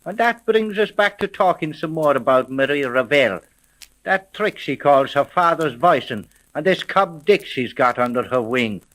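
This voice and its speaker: An actor playing a gruff, suspicious veteran police sergeant in a 1930s radio serial, blunt and slangy. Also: male voice, a little high, expressive, moderate pace, fairly bright tone, rough recording. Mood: suspicious, wary.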